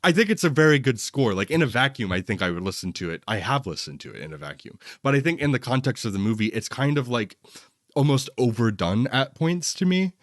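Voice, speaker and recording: A man's voice: medium pitch, very animated, fairly quick, fairly bright tone, no room sound, clean studio sound. A man hosting a film review podcast, talking casually and giving hedged personal opinions in a conversational way. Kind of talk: casual movie discussion.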